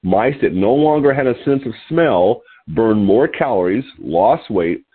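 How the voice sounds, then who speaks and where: A man's voice: lowish voice, animated, measured pace, breathy edge. A man in his sixties, nasal and sniffly from allergies, hosting a call and reading an article aloud with small chuckling asides. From California. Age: 60s. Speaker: host. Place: home room. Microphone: phone line. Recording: tinny phone-line call.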